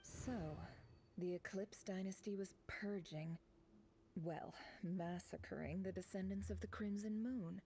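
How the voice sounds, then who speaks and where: A woman playing a shrewd, composed investigator in a video game, explaining in a measured, knowing, analytical way. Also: medium pitch, fairly even intonation, dark tone, slight background noise, lightly acted. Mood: pensive, intrigued. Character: shrewd, composed female investigator who reads minds. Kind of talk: video game voice acting, expository narration.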